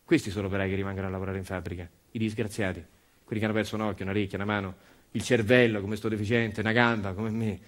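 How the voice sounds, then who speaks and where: A man's voice: fairly low pitch, level tone, racing, voice pushed down, natural voice. A man with a Roman accent playing a bitter, mocking, crippled factory worker in a stage monologue, blunt, colloquial and repetitive. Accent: Roman, central Italian.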